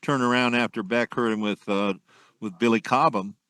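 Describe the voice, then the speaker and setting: An older man, a former musician, reminiscing at length in a casual interview, rambling with many pauses. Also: medium pitch, fairly even intonation, a bit bright, clear, resonant tone, no echo, studio quality. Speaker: guest, musician.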